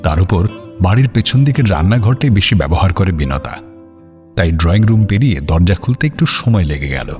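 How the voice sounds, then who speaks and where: Man, deep voice, highly varied intonation, literary radio narration, dramatic storyteller, guitar music behind. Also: animated, fairly quick, darkish tone.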